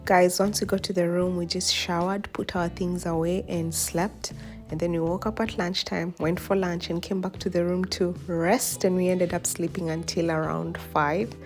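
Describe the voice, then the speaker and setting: A young woman, hoarse from a cold, gives a casual voice-over account of a trip as a vlogger. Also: on the low side, flat delivery, normal speed. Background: background music.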